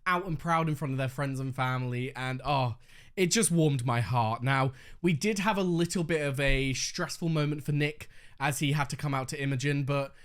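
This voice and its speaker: Hoarse young guy chatting through a reaction, candid, swears a bit. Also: mid-range voice, normal speed. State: slightly hoarse, losing his voice. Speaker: reaction video host. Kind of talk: casual reaction commentary.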